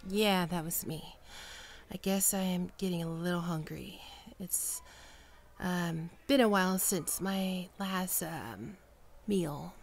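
A woman's voice: mid-range voice, creaky, slight background noise. A woman playing a friendly young female ghoul best friend in an ASMR roleplay, chatty and playful. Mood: playful, affectionate, then hesitant, awkward. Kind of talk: ASMR roleplay, acted one-sided dialogue.

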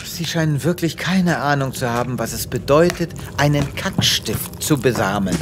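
A man's voice: mid-range voice, animated, moderate pace, heavy background noise, natural voice. An actor playing a weary, exasperated male space veterinarian in an audio drama, dramatic and given to muttering. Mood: irritated. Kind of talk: German audio drama acting.

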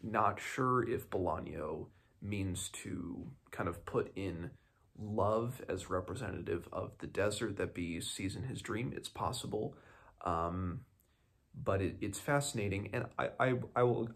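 Low-voiced, gravelly young man. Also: measured pace. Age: 20s. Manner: hesitant, thoughtful, restarting sentences often. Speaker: book reviewer. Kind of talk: casual, unscripted short story review to camera. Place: small home room indoors.

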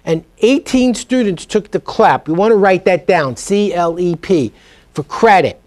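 High-voiced, emphatic middle-aged man. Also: measured pace. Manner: emphatic, energetic, salesman-like. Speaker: guest, speed reading teacher. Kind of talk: TV business interview. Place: TV studio set.

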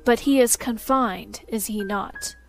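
A woman's voice: fairly high pitch, a little slow, creaky, soft background music, rough recording. A woman voicing a genteel princess who sounds sweetly concerned yet coldly calculating. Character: cold, genteel young princess.

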